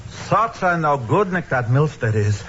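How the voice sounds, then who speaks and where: An actor playing a curious, talkative delicatessen owner in an old-time radio mystery, animated and inquisitive. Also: male voice, on the high side, measured pace. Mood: puzzled, curious. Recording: dull old radio recording, faint noise.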